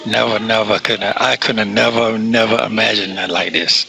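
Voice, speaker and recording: A man's voice: lowish voice, steady tone, moderate pace, vocal fry, a crowd in the background. A man chatting casually on a personal call, repeating phrases for emphasis and stammering into his sentences. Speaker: caller on a prison call. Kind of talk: casual private phone chat.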